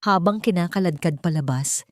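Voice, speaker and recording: A woman's voice: fairly low pitch, normal speed, no echo, clean studio sound. A woman with a Filipino accent narrating a dramatic scripted story. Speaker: audiobook narrator.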